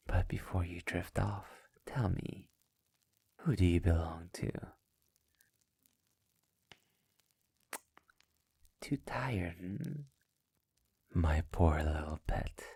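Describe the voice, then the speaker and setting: Slow, deep, breathy vampire master, acted by a man. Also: a little mellow, dry recording, exaggerated voice. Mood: mock tender, possessive. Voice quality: breathy, gravelly low voice, often near a whisper. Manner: slow, theatrical, taunting. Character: sadistic, possessive immortal male vampire master, seductive and menacing. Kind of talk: intimate ASMR roleplay.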